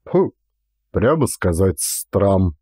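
A man voicing a sedate, dignified and mighty peasant in an audiobook, with a drawn-out, staid delivery. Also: low-pitched, sing-song, dark tone, breathy edge, no room sound, clean studio sound, voice pushed down, full character voice. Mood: grave.

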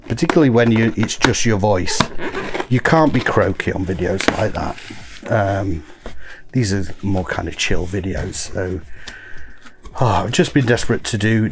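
A man in his thirties with a croaky voice from a cold, chatting casually while unboxing toys at his desk. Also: mid-range voice. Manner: rambling, self-correcting. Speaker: toy collector vlogger. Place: home desk. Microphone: overhead camera, hands only.

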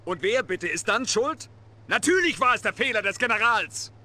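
A stern Soviet officer in a game cutscene. His fairly high, forceful voice moves animatedly as he briefs in a formal, earnest way. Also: lively intonation, normal speed, exaggerated voice. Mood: accusing. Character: stern, dutiful Soviet military officer advising the dictator. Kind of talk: video game cutscene acting, German dub.

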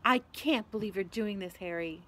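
A woman voicing a bossy, indignant schoolgirl in a storybook, shrill, prim and insistent. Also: moderate pace, a bit bright, close to an everyday voice. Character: bossy, indignant schoolgirl scolding her classmates. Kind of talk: audiobook-style character voice.

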